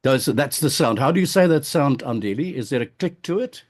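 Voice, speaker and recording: A man's voice: mid-range voice, expressive, brisk. A South African man, a journalist interviewing a guest, who talks in an earnest, emphatic way and repeats phrases when pressing a point. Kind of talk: interview with narrated intro.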